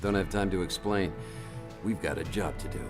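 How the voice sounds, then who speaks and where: Man acting a deep-voiced, gruff veteran officer villain. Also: normal speed, over music, close to an everyday voice. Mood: brisk, familiar. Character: tough veteran army officer turned smug, treacherous villain. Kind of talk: dramatic video game acting.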